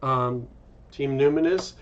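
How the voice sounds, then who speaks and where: A man's voice: mid-range voice, takes it slowly. A man hosts a developer panel in a mid-range voice. The sound is a little dull and lo-fi, as he introduces guests and puts questions to them. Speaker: host. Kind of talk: hosting a developer interview. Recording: slightly dull, lo-fi microphone.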